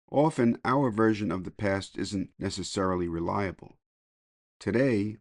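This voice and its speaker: A man of middle age or older with a low voice reads a daily meditation for a podcast. His delivery is measured, and the recording is dry. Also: no echo. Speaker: podcast reader.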